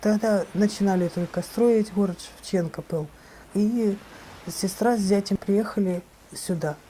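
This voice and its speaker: An older woman from Ukraine, recalling her life in a documentary interview, plain and unhurried with small hesitations. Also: mid-range voice, dark tone, slight background noise, rough recording.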